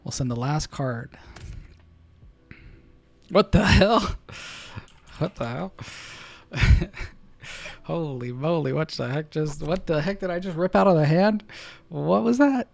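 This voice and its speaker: A man streaming a card game, thinking through his plays out loud to his chat and breaking into loud excited outbursts. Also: on the high side, takes it slowly, creaky, pauses often, no room sound. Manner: muses over choices, bursts into loud excited laughs. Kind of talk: thinking aloud while playing, talking to chat.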